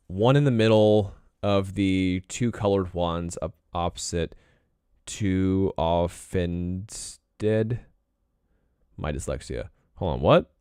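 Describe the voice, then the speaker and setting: A young man talks loosely to his stream while he works, drifting from one thought to the next and clearing his throat now and then. His voice sits low and comes through smooth and clean. The sound is dry and close, with no room around it. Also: lively intonation, slow pace, mellow, dry recording. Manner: laid-back, rambling, frequent throat clearing. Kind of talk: casual live-stream chat while drawing.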